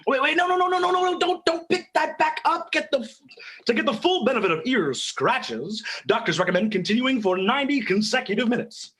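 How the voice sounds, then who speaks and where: A man as a needy dog, high and animated. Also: a little fast, slightly rough, exaggerated voice. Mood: panicked. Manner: coaxing, mock-salesman patter. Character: needy, wheedling pet dog as pitchman. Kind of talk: comic radio ad.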